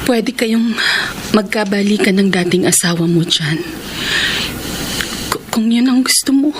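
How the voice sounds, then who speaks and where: A woman's voice: mid-range voice, measured pace, background noise, lightly acted. A woman with a Filipino accent playing a hurt, self-sacrificing partner in a radio melodrama. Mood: sadly resigned. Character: self-sacrificing, hurt woman Alice, gentle and long-suffering. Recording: radio drama with loud music bed.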